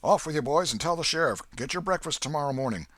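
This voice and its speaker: A man's voice: fairly high pitch, animated, fast, a bit bright, dry recording, pitched above the performer's own voice. A man voicing a kindly, hearty old man in an audiobook, with a folksy, emphatic delivery. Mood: excited, commanding. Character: hearty, kindly old Welshman, hospitable father of grown sons. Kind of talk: audiobook character voice.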